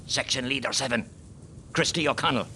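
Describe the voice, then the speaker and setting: An actor playing a grave guerrilla commander with deliberate, authoritative delivery in a radio play. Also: male voice, medium pitch, pushed and bright, slight background noise, lightly acted. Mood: commanding.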